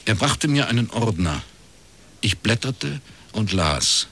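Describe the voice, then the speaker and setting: An actor playing a wry, laconic private detective with a husky voice, in radio crime drama narration and dialogue. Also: male voice, fairly low pitch, slow pace, exaggerated voice. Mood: dry, matter-of-fact. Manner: dry, deadpan, understated. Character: wry, laconic male East Berlin private detective, ex-academic.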